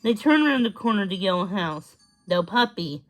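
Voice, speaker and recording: A woman's voice: medium pitch, expressive, quick pace, faint music behind, rough recording. A woman narrating a children's story as a read-aloud. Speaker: storybook reader.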